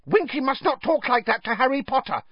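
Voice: sits high, animated, fairly quick, husky, no echo, lo-fi sound, pitched above the performer's own voice. A performer voicing a small, devoted male house elf servant, anxious and deferential, in a storybook reading. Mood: indignant, loyal. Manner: deferential, whispering, third-person speech.

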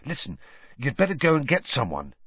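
A man's voice: mid-range voice, huge pitch swings, fairly quick, no room sound, pitched above the performer's own voice, full character voice. A man doing an urgent teenage schoolboy. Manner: loud, clear, urgent. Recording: dull, slightly lo-fi recording.

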